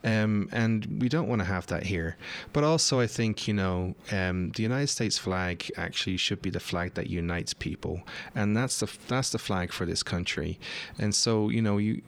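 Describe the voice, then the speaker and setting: A man's voice: fairly low pitch, normal speed. A man with an Irish accent, talking as a guest on a talk show, hesitant and full of fillers and restarts. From Ireland. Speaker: guest commentator.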